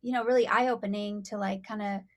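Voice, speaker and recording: A young woman speaking as a guest in a casual interview. Her voice sits at a middle pitch, and she talks in a bubbly, easygoing way with lots of "like" and "you know." She comes across as an artist talking about her own work. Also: fairly even intonation, brisk, slight background noise. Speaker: rapper and songwriter guest.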